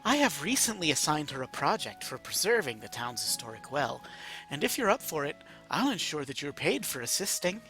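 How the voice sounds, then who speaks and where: A man's voice: a little high, very animated, fairly quick, pushed and bright, soft background music. A man voicing a silky, flirtatious and formal female mayor in a read-aloud story. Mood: gracious. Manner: genteel, formal, drawling.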